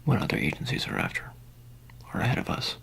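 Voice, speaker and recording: A man doing an improvised ASMR roleplay in whispers and soft speech, rambling and hesitant with a deadpan delivery, close to the microphone. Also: fast talker.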